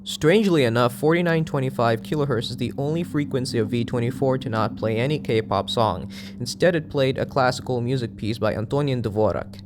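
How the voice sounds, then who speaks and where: A man's voice: mid-range voice, brisk, few pauses, noisy background. A man narrating an explanatory online video in a documentary style, with casual, conversational asides.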